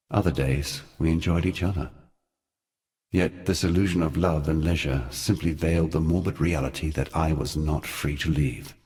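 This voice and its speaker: An actor playing an ancient, brooding god in a fantasy game, speaking in solemn, ornate and deliberate phrases like a theatrical monologue. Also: male voice, very deep voice. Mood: grave, brooding. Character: ancient grave god, former lover of gods, brooding and eloquent.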